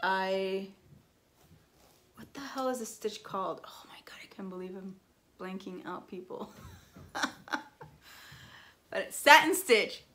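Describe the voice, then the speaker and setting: A woman's voice: mid-range voice, slow, long pauses, a bit bright. A woman whose first language is Spanish, chatting in a bubbly, gushing way as she shows off her crafts. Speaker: crafting vlogger. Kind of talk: casual show-and-tell chat.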